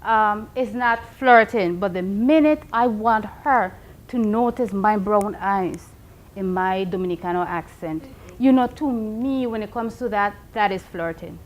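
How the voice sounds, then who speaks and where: A woman presenting the discussion topic on a talk panel, animated and quizzing the others. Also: medium pitch, a little slow. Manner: animated, asks the panel questions. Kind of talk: casual panel chat.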